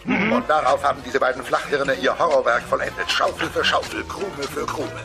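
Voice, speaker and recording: A man playing an ominous dinosaur mystery-show host in a cartoon comedy, dramatic yet deadpan, with music behind. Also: mid-range voice, moderate pace, gravelly.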